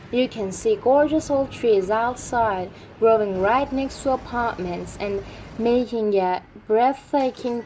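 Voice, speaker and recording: A woman's voice: fairly high pitch, a little mellow, a little hiss or hum, rough recording. A young woman reading a scripted tour of her city. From Turkey. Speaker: student presenter. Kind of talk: scripted video presentation.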